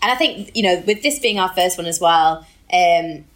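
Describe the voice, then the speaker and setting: A woman's voice: lowish voice, normal speed. A British woman co-hosting a podcast in a casual, chatty and informal way, with a giggly streak. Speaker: podcast co-host.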